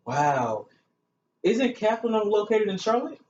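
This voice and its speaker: A man's voice: high voice, very animated, slow pace, a little mellow, heavily acted. A man playing a gushing, chatty talk show host in a staged amateur show. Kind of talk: hosting a staged student-made talk show. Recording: echoey, muffled room recording with hiss.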